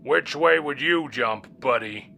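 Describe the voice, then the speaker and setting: A man voicing a blunt, threatening American army general in an audio story, barking his lines forcefully. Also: mid-range voice, slow, vocal fry, soft background music, exaggerated voice. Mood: angry, threatening. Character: gruff, blustering American army general, hard-jawed and threatening. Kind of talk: audio story character acting.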